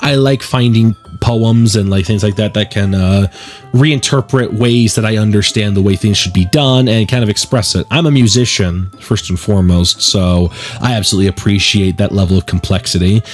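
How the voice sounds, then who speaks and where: A man's voice: lowish voice, moderate pace, soft background music. A man hosting a gaming video, giving conversational, opinionated let's play commentary and reading out narration. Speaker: gaming video host and musician.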